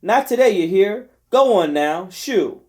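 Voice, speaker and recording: A man's voice: high-pitched, expressive, a little slow, a little mellow. A man reading an audiobook, voicing a bossy, impatient housewife who scolds and hollers. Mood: shooing. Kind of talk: audiobook character voice.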